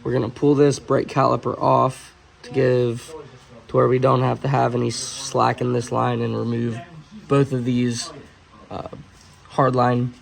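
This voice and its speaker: A man hosting a car channel, hoarse as he loses his voice, explaining a suspension install in a relaxed, chatty way with filler pauses. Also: mid-range voice, rough recording. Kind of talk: casual how-to narration. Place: auto shop garage.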